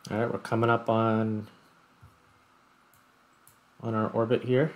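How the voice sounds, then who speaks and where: A man's voice: on the low side, little pitch movement, slow, highs cut off. A man giving casual gameplay commentary as a video host, thinking aloud as he explains things.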